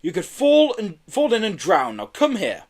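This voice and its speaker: A man's voice: sits high, wildly swooping pitch, normal speed, pitched above the performer's own voice, exaggerated voice. A man voicing a protective father in a children's story, firm and exclaiming. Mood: stern.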